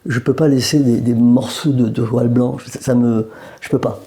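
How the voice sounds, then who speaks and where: A man's voice: mid-range voice, steady tone, moderate pace, mellow. A man from Algeria, a painter, chatting in an interview in a gallery, with many hesitations and joking asides. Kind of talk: casual interview. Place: art gallery room.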